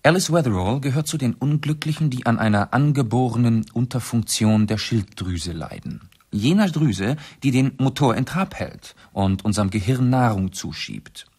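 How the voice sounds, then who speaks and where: An actor playing a shrewd English gentleman detective in a 1970s radio play, speaking in a deliberate, analytical and urbane manner. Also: medium pitch, expressive, breathy edge. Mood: analytical, composed. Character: shrewd English gentleman detective, methodically explaining his deductions.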